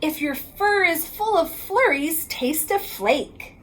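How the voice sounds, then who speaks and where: A woman's voice: extremely high pitch, expressive, fairly bright tone, slight background noise. A woman reading a rhyming picture book aloud to children in a sing-song way, drawing out the ends of lines. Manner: sing-song rhyming delivery, drawn-out line endings.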